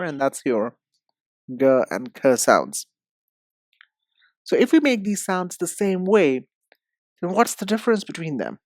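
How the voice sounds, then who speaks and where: A woman giving an instructional pronunciation lesson, speaking deliberately and enunciating with emphasis and pauses. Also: lowish voice, no room sound, clean studio sound. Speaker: English pronunciation teacher. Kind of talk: instructional lesson, demonstrating sounds.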